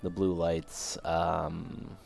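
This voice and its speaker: Brief: male game streamer, very deep voice, rambling with fillers, voice going hoarse. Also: little pitch movement, very slow, a little mellow, faint music behind. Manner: rambling, thinking aloud, many fillers. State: losing his voice. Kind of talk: casual live game commentary.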